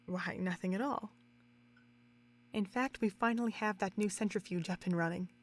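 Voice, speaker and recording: A woman's voice: mid-range voice, moderate pace, close to an everyday voice. A woman playing a self-assured, zealous senior scientist mare in an audio drama, lecturing with condescension. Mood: lofty, zealous.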